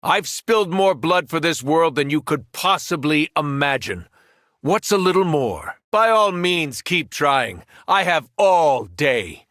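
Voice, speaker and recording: An actor playing a powerful, cruel superhero turned villain in a video game, arrogant and taunting. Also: male voice, a little high, big pitch swings, slow pace, fairly bright tone, dry recording, studio quality, exaggerated voice. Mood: arrogant contempt. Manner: taunting, menacing, condescending.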